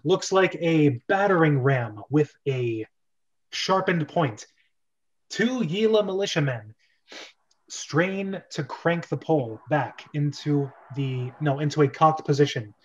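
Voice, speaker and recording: A man narrating a script read-through, casually reading stage directions in a halting way and correcting his misreadings. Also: on the high side, dull audio.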